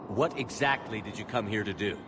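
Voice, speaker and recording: A man's voice: fairly low pitch, brisk, natural voice. A man playing a tough, resolute human navy commander in a sci-fi game, authoritative and clipped. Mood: stern, urging. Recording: clear vehicle and ambient noise behind.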